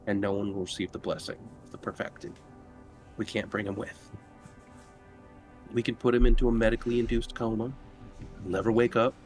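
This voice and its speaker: Low-voiced man playing a tabletop game. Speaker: tabletop roleplaying player. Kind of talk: in-character roleplay mixed with casual game talk.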